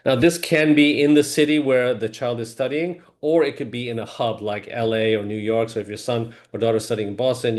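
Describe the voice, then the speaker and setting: A middle-aged man hosting a video-call webinar, talking casually with many fillers and restarts. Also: mid-range voice. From Hong Kong family, grew up in Singapore. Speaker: webinar host, mortgage lender.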